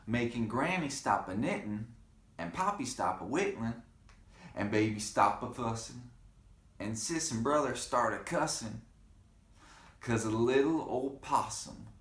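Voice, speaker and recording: A man with a fairly low voice reads a storybook to children. He takes his time and swings his pitch around playfully, chatting to the kids as he goes. The room adds noticeable echo. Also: lively intonation, takes it slowly, fairly bright tone. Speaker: read-aloud storyteller for children. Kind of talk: animated picture-book read-aloud with chatty asides to kids. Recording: roomy, echoing room sound.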